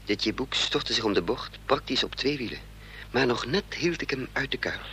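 A man's voice: mid-range voice, expressive, moderate pace, bright, forceful tone, a little hiss or hum. An actor playing a resolute, bitter man on the run in a radio drama, with intense, clipped, dramatic delivery. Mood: grave narration. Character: hunted male amnesiac double, resolute, driven, bitter. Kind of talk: radio drama acting with first-person narration.

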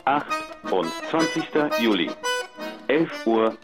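An actor playing an answering machine's male voice, announcing day and time in measured words in a radio drama. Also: mid-range voice, big pitch swings, loud music behind the voice. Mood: impersonal. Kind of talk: announcing dates and times.

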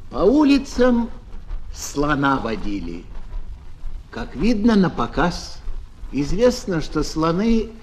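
A man giving a theatrical, expressive recitation of verse fables for children as a storyteller. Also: a little high, takes it slowly, pauses often. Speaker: fable narrator, actor. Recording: noisy old flexi-disc record.

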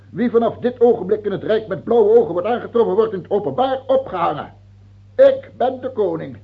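An actor playing a cruel, mocking and capricious king in a radio drama, imperious, husky and gravelly. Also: on the high side, exaggerated voice. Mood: ranting, domineering. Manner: imperious, taunting, hesitating then outbursting. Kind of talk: theatrical radio drama acting. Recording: muffled, phone-like old radio play recording.

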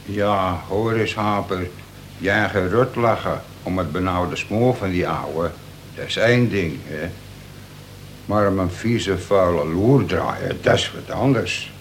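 A crafty convict in a radio drama, with a fairly low voice. He talks in a slangy, streetwise way, and the recording carries faint noise. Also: slow. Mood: emphatic. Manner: streetwise, colloquial. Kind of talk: Dutch radio play acting.

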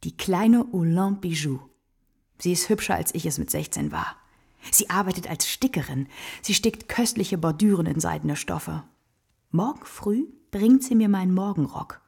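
A worldly courtesan in a radio play, frank and generous. Her voice is husky with a creaky, gravelly edge, and her delivery is theatrical and knowing. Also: lowish voice, animated, normal speed, darkish tone, heavily acted. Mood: brisk, persuasive. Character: worldly, brazen kept woman, generous and theatrical. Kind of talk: radio drama acting.

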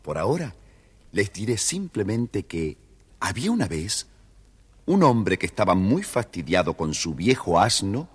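A man's voice: lowish voice, very animated, unhurried, slightly rough, full character voice. A man narrating a scripted children's fairy tale as a genial storyteller. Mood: unhurried storytelling.

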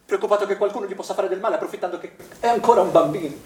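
A man's voice: high voice, very fast, a little mellow, natural voice. An actor playing an anxious, accusing father who is protective of his children, in an insistent stage-drama style. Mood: anxious, brooding. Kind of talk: stage theatre acting. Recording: echoey room, distant mic, background noise.

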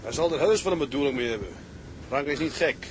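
An actor playing a sober, level-headed tugboat skipper in a Dutch radio drama, measured and understated. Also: male voice, mid-range voice, lively intonation, natural voice. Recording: clear background noise, ship ambience.

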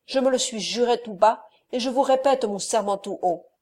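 A woman voicing a furious, jealous nobleman who hurls loud accusations in an audiobook reading. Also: a little high, normal speed, lightly acted. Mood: threatening.

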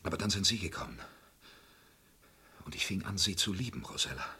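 Lovesick wounded killer in a radio crime drama, husky voice, really swinging pitch. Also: male voice, animated, a little fast, exaggerated voice. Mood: subdued, tender. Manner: impassioned, swinging from hushed to outraged. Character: intense, wounded, lovesick man who confesses to murders. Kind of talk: dramatic radio play acting.

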